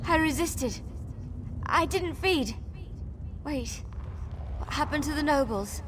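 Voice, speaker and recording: Shaken, slow, high-voiced heroine. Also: female voice, lively intonation, fairly bright tone, a little hiss or hum, heavily acted. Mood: shaken, confused. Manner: halting, fragile, uncertain. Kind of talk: fantasy video game acting.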